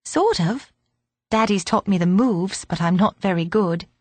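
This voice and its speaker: A woman's voice: medium pitch, a little slow, a little mellow, no room sound, lightly acted. A woman reading a storybook voices a polite, eager schoolgirl who is curious and well-mannered.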